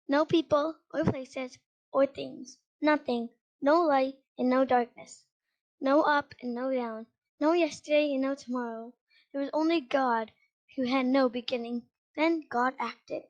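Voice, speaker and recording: A female reader with a high voice reading a children's Bible story aloud, halting now and then over words. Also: muffled recording.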